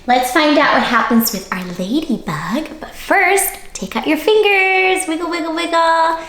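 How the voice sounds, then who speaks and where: Storytime lady, high voice, real slow and animated, talking to little kids, echoey room. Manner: animated, coaxing, simple questions to children. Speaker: children's storytime reader. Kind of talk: interactive picture-book read-aloud for young children.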